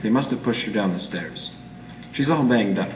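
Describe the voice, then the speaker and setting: An amateur actor playing a hard-boiled 1940s private eye in a radio noir, clipped, deadpan and slangy. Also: male voice, lowish voice, normal speed, close to an everyday voice. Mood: grim narrating. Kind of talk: 1940s radio noir acting with first-person narration. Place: live presentation in a roomy gallery. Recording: echoey room, distant mic, faint noise.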